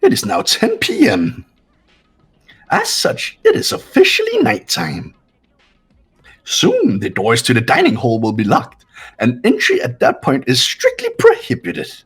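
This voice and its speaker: A man voicing a sinister robotic bear mascot in a fan-voiced game, melodramatic and mock-sweet with drawn-out phrasing. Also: on the high side, wildly swooping pitch, fairly bright tone, breathy edge, soft background music, voice pushed up, heavily acted. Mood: mock-sweet announcing. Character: sinister theatrical robotic bear mascot, male, gleefully cruel.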